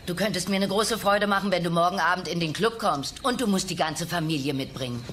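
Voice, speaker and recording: A woman's voice: fairly low pitch, brisk, fairly bright tone, slight background noise, natural voice. A woman voicing a restless, flirty dinosaur country singer with a theatrical, coaxing manner in a cartoon audio drama. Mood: insistent.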